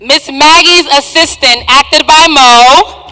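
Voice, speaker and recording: A woman's voice: high voice, slow, a little hiss or hum. A woman hosting a stage show, projecting to the audience as she announces the cast. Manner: projected, hyping up the crowd. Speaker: stage host presenting the cast. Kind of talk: curtain-call announcing to an audience. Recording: live stage sound with loud music.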